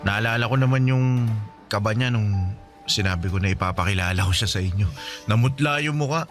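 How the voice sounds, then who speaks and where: A man's voice: lowish voice, brisk, faint music behind, lightly acted. An actor playing a polite young man talking respectfully with his mother about the girl he is courting in a radio drama. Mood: respectful, smitten. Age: young adult. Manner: polite and respectful, uses honorifics. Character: polite young man in love, devoted son.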